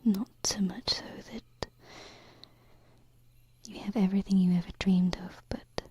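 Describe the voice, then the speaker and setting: A woman playing a gentle, affectionate alien princess in an ASMR roleplay, speaking softly and reassuringly into a close binaural microphone. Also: medium pitch, steady tone, breathy edge. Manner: soft, slow, reassuring, pausing. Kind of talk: ASMR roleplay, intimate whispering.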